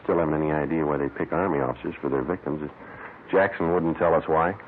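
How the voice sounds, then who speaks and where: An actor playing a matter-of-fact Los Angeles police detective sergeant in a 1950s radio drama, speaking in a terse, deadpan way. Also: male voice, very deep voice, fast, a little hiss or hum. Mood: businesslike. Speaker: narrator and lead detective. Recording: muffled old radio recording, loud music.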